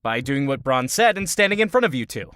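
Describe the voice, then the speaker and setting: A man's voice: mid-range voice, very animated, brisk, fairly bright tone. A man playing a nervous, naive adventurer in an audio drama, jumpy and plaintive in his delivery. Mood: bemused, relieved. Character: nervous, naive, easily startled male adventurer.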